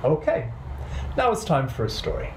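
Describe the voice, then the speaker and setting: A man's voice: on the high side, very animated, moderate pace, background noise. A man in his thirties hosting a children's storytime, talking playfully and directly to young kids. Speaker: children's storytime host at a library. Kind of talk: children's storytime with songs and rhymes. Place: small bright living room, indoors. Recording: roomy, echoing indoor sound. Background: ukulele music at times.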